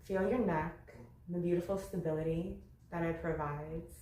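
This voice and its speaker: A woman leading a guided yoga meditation, speaking gently in short, unhurried phrases with long pauses between them. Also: fairly low pitch, fairly even intonation. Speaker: yoga nidra meditation instructor. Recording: roomy echo with faint background noise.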